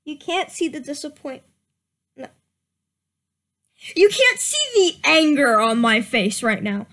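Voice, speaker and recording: A woman's voice: high voice, very animated, slow, fairly bright tone. A young woman doing a comedic reading aloud, stumbling over words and breaking off for laughing asides and mock outrage. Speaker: reader. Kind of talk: comedic dramatic reading with commentary.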